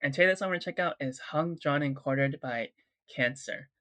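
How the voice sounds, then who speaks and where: A man's voice: on the high side, brisk, pushed and bright. A man talking casually as a guitarist reacting to music, sounding nasal and congested from being sick, eager and chatty about riffs. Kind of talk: casual chat.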